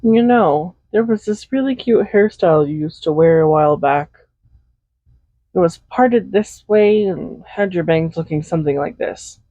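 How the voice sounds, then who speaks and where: Soft mellow villain lady acting it out, doting and possessive, gently teasing, roleplay audio, dry kinda dull mic. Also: on the low side, measured pace, dry recording. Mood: curious, fond. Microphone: Blue Yeti USB microphone, close. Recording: slightly dull home microphone recording.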